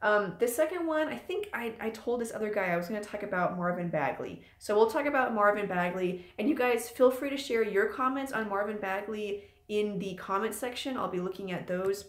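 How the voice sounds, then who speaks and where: A woman's voice: medium pitch, lively intonation, a little fast, few pauses. A woman in her late twenties hosting her own channel, chatting loosely and rambling with lots of ums in a live stream from a room at home. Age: late 20s. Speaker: channel host. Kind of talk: casual live-stream chat. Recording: echoey room.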